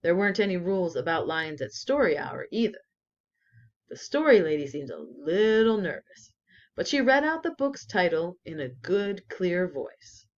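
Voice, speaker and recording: A woman reading a children's story aloud expressively with deliberate emphasis, on slightly dull lo-fi sound. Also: lowish voice, sing-song.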